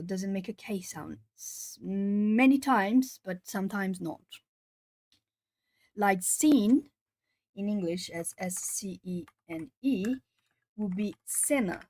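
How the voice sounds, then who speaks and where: A woman with a southern Brazilian Portuguese accent talks casually to her stream as she colours, reading out viewers' comments and stopping to gather her thoughts. Her voice sits at a middle pitch. The recording is close and dry, with no room echo. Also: lively intonation, mellow. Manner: chatty, reads chat comments aloud, pauses to think. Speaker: art streamer. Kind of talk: casual chat while colouring.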